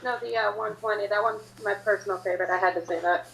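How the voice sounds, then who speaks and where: A woman's voice: mid-range voice, fairly even intonation, quick pace, gravelly, a bit bright, rough recording. A woman chatting casually and commenting along as a guest. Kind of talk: casual chat.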